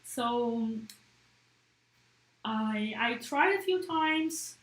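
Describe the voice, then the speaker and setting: A chatty woman, a native Portuguese speaker, talks in English to her live-stream viewers with a fairly high voice. She keeps checking in with a quick "right?". The room gives her voice a roomy echo. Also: expressive, fairly bright tone. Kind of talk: casual live-stream chat.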